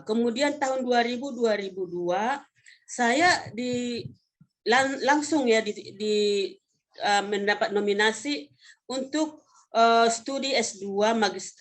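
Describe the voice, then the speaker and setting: A woman in her fifties from North Sumatra, Indonesia, presenting slides informally as a professor, in a conversational, halting way with self-corrections. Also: on the high side. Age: 50s. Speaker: vocational education professor presenting a webinar.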